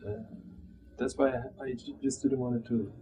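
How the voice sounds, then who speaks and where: A man in his thirties with a Turkish accent, speaking haltingly and searching for words as he reflects in an interview. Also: medium pitch, steady tone, dark tone. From Turkey. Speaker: interviewee, immigrant telling personal story. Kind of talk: reflective personal interview testimony. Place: small plain room indoors. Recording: dull muffled sound, background room noise.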